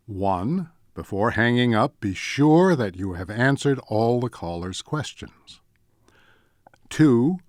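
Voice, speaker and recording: Lively, measured male training narrator, dry sound. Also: mid-range voice, dry recording. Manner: measured, deliberate, enumerating points. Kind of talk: scripted instructional narration.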